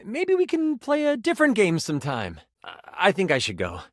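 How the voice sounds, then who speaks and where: A man's voice: sits high, huge pitch swings, moderate pace, dry recording, clean studio sound, heavily acted. An actor voicing a personified gaming die as a nerdy, earnest, awkward man who stammers and gets theatrical, in video game style. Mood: awkward, let down. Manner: hesitant, stammering, effusive, theatrical gamer talk.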